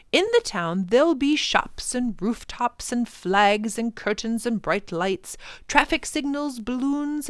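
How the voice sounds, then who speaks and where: A woman voicing an earnest, enthusiastic male professor in a children's storybook, urging listeners on with dramatic emphasis and hushed words. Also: sits high, no echo, clean studio sound, voice pushed up, full character voice. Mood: enthusiastic, wondering. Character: older male professor, passionate, earnest, wonder-filled teacher. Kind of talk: children's storybook read-aloud.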